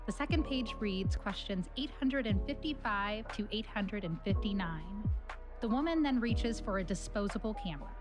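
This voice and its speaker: Plucked guitar plays clearly behind a woman with a mid-pitched voice. She reads scripted stage directions, narrating the action of an audio play. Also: expressive, moderate pace. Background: plucked guitar music.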